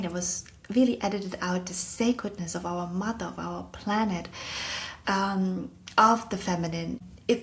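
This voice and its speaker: German-accented woman rambling through spiritual history. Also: medium pitch. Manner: rambling, self-correcting, laughs often at herself. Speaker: podcast host. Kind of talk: casual solo talk on spiritual history.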